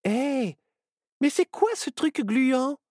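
A man voicing a fearless young girl who speaks with calm, confident authority. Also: high-pitched, measured pace, dry recording, studio quality, pitched above the performer's own voice. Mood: excited. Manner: confident, matter-of-fact. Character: bold, brisk young girl, calm and bossy. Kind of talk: storybook reading.

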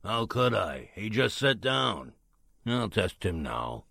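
A man's voice: fairly low pitch, sing-song, takes it slowly, vocal fry, pushed and bright, heavily acted. A man playing a surly, curt radio control-room engineer in a 1940s-style radio drama.

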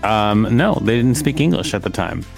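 Low-voiced man hosting a podcast. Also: sing-song, normal speed, gravelly, soft background music. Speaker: podcast host. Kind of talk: reading encyclopedia pages aloud with casual commentary.

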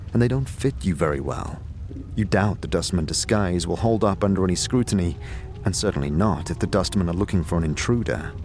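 A man narrating a scripted second-person audio drama in a deliberate storytelling manner. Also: on the low side, darkish tone. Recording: music behind the voice. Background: background music.

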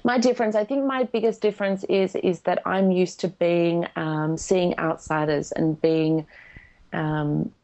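Frank woman with a gravelly voice. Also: lowish voice, steady tone, a little slow, warm tone, lo-fi sound. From Australia. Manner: frank, emphatic. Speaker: guest. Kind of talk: podcast interview.

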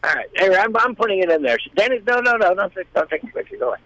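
A man chatting casually as a guest, explaining things in a rambling, halting way. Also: high voice, very animated, pushed and bright, dry recording, poor audio. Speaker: guest, musician. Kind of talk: casual chat.

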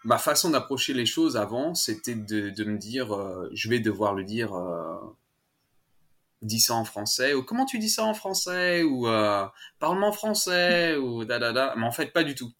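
A man's voice: medium pitch, sing-song, moderate pace, fairly bright tone. A man from France, a father as interview guest, chatting casually with many fillers, restarts and laughs. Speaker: guest, French-speaking father.

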